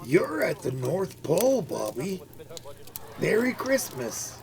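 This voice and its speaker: A man playing a warm-hearted, folksy husband who acts as Santa in an amateur radio play. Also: high voice, wildly swooping pitch, slow, gravelly, heavily acted. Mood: kindly, jolly. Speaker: actor in an amateur audio play.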